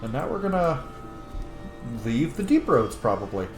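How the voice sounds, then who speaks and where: A man's voice: a little high, sing-song, moderate pace. A man hosting a roleplay playthrough with casual game commentary. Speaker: host, gaming streamer. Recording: roomy echo, game music behind.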